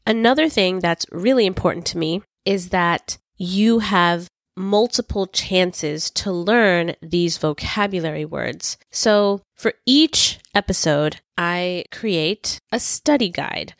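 A woman's voice: on the low side, slow pace, hardly any pauses, no room sound, clean studio sound. Woman teaching on her podcast. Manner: clear, patient teaching delivery. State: recovering from a cold. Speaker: English teacher and podcast host. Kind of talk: podcast lesson.

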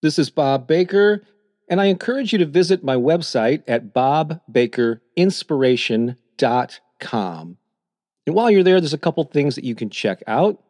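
A middle-aged man reading his own calm, encouraging self-help talk as a podcast host. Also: a little high, moderate pace, no echo, studio quality. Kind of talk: scripted motivational narration.

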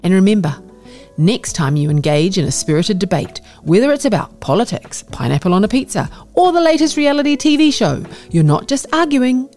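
A woman reading a scripted opinion essay aloud as a narrator, with an expressive, measured delivery over music. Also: mid-range voice.

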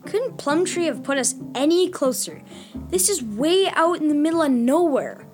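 A high-voiced schoolboy adventurer, acted by a woman in a children's fantasy audio drama. He grumbles and exclaims dramatically, with lots of swing in his pitch. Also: animated, normal speed, music in the background. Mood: grumbling. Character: hungry, whiny, dramatic school-age boy adventurer.

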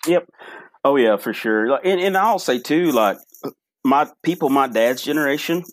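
A man talking as a podcast guest, telling stories in a relaxed, animated way with frequent restarts. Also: mid-range voice, normal speed, rough recording. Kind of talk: casual storytelling interview.

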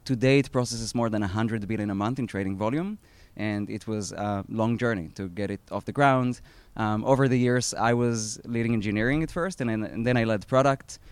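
A man in his thirties with an Israeli accent speaks as a founder at a live event, pausing often with fillers and restarting his phrases. His voice is smooth and clear, with a dry, close sound. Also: mid-range voice, no room sound. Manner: halting, many fillers and restarts. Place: outdoor startup event.

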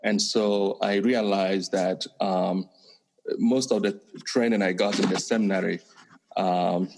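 A man from Nigeria with a Nigerian accent, a priest and counseling professor answering interview questions in a measured way with many pauses. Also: fairly low pitch, darkish tone. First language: Igbo. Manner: measured, many pauses and fillers, light laughs.

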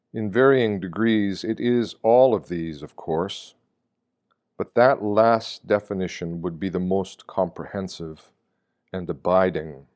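A man reading a scripted devotional reflection in a measured, reflective manner, often with rhetorical weight. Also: lowish voice, a little mellow. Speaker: reader of Lenten meditations.

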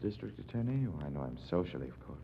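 An actor playing a genial, slightly hesitant theatrical producer in a 1940s radio drama. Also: male voice, low-pitched, big pitch swings, fast talker, gravelly, exaggerated voice. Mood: puzzled. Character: affable, puzzled theatrical producer, a grown man. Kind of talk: 1940s radio detective acting. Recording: muffled, echoing, noisy old radio recording.

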